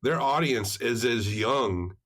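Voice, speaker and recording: A man's voice: mid-range voice, takes it slowly, a bit bright. A man co-hosting a podcast, talking through a film in a casual, explanatory, conversational way. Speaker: podcast co-host.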